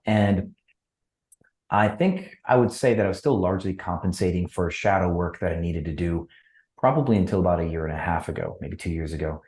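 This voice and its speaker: A man from Wisconsin, a podcast guest, telling his story in a relaxed, reflective way with fillers. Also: sits low, echoey room. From Wisconsin, USA. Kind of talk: casual interview chat.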